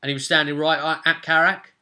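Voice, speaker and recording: A man's voice: fairly high pitch, flat delivery, fast talker, sharp tone. A young man casually recapping a tabletop role-playing session as game master. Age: young adult. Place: small furnished room at home.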